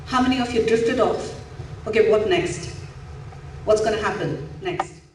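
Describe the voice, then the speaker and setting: A woman's voice: mid-range voice, measured pace, noisy background. A woman from India giving an interactive mindfulness talk to parents in a school auditorium, encouraging and asking the audience questions. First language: an Indian language. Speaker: mindfulness coach. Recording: echoey hall, faint room ambience.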